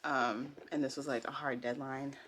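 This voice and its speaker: A woman's voice: very deep voice, steady tone, moderate pace, a bit bright, slight background noise. A woman talking candidly and informally in a casual personal vlog, recorded at home. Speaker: vlogger, costume designer. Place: indoors at home.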